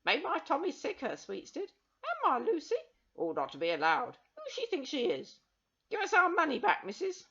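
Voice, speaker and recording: A woman voicing an angry mother in the crowd, complaining loudly. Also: high-pitched, wildly swooping pitch, normal speed, muffled recording, pitched above the performer's own voice, exaggerated voice.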